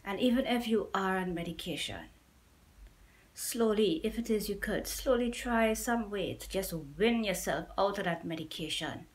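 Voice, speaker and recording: A woman's voice: medium pitch, moderate pace. A middle-aged woman with a Trinidadian accent talks straight into a nearby camera in a small room at home. She speaks in a mid-range voice, earnest and pleading, and keeps circling back to repeat her points. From Trinidad and Tobago. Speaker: YouTuber. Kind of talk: personal testimony to camera.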